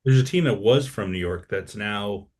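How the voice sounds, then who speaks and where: A man's voice: lowish voice, normal speed, darkish tone. A man taking part in a tasting chat, making wry jokes. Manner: joking, wry. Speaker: tasting participant.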